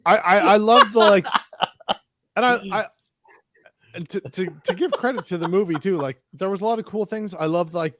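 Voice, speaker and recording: A man co-hosting a podcast, casually discussing films in a profane, animated storytelling way. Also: high-pitched, moderate pace, dry recording, studio quality. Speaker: podcast co-host. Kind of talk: casual film discussion, chat.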